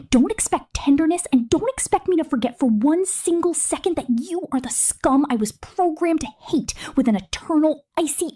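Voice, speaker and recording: A woman voicing a possessive, cold female killer drone robot in a breathy, slow, menacing whisper for an ASMR roleplay. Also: high-pitched, dark tone.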